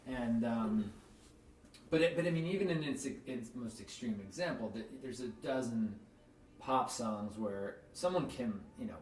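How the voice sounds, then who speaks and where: A man's voice: mid-range voice, unhurried, darkish tone. A man hosting a podcast, talking in a thoughtful, rambling way with lots of fillers. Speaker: podcast host. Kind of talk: casual interview chat. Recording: echoey room, distant mic, faint noise.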